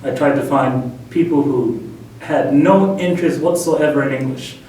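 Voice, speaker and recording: A man answering interview questions in a relaxed, friendly way, joking now and then. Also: medium pitch, moderate pace, slight background noise. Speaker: interviewee, missionary and English teacher. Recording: echoey room, distant mic.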